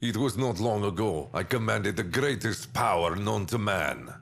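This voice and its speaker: An actor playing a proud, bitter fallen dynasty ruler in a video game cutscene, brooding and theatrical. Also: male voice, fairly low pitch, lively intonation, moderate pace, creaky, a bit bright, slight background noise.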